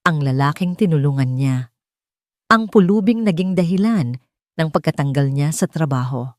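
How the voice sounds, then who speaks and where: A woman narrating a scripted audio drama, telling it slowly and dramatically. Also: deep voice, expressive, darkish tone, dry recording, studio quality. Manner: slow, dramatic, measured storytelling.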